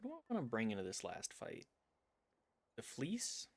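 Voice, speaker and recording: A man streaming a video game, chatting casually in a rambling, self-deprecating way with animated asides. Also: medium pitch, moderate pace. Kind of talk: casual chat while commenting on gameplay. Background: game music.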